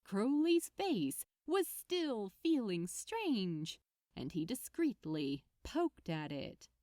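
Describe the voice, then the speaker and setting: A woman's voice: mid-range voice, big pitch swings, takes it slowly, dark tone, no room sound, clean studio sound. A woman narrating fiction expressively as an audiobook reader, reading from a script.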